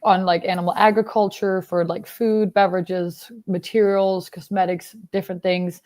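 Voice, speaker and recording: A young woman from Denmark, a biotech startup founder, answering interview questions in a relaxed, explanatory way, full of fillers. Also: medium pitch, normal speed. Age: young adult.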